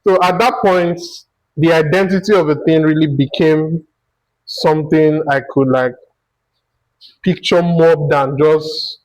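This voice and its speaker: A man's voice: fairly high pitch, fairly even intonation, a little slow, a little mellow, no echo, rough recording. A man with a Nigerian accent hosting a conversational interview, thinking aloud in long, winding turns. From Akwa Ibom, Nigeria. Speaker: interview host.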